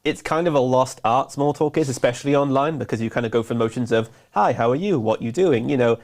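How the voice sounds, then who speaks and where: A man co-hosts a casual business talk, chatty and easygoing. He talks quickly, one thought running into the next, at a middling pitch. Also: a little mellow. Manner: chatty, quick, laughs easily. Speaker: co-host.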